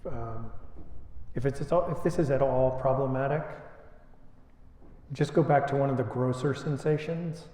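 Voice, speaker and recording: Mellow man guiding meditation in echoey room. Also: mid-range voice. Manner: unhurried, with long pauses between short phrases. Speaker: meditation teacher leading a retreat. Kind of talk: guided meditation. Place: indoor retreat space before a group.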